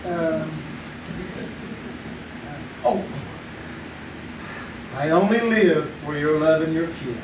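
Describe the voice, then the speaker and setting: A man's voice: a little high, very slow, pauses often, heavy background noise. A man playing a broke young schemer. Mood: wry. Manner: wry, joking, easygoing. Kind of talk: 1950s-style radio drama acted live on stage. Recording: echoey theater sound from a distant mic.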